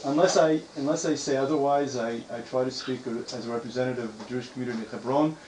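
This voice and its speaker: A man answers a group's questions in a loose, conversational way, pausing on fillers and correcting himself as he goes. His pitch sits in the ordinary middle range, and faint background noise runs under his voice. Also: steady tone, a little mellow, rough recording. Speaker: community spokesman answering questions. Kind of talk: informal Q&A with a visiting group.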